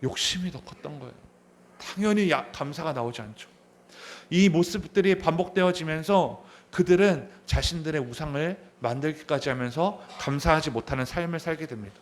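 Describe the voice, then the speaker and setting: A man's voice: a little high, normal speed. A man in his thirties preaching a sermon as a pastor, asking the congregation questions and repeating key phrases. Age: 30s. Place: church sanctuary.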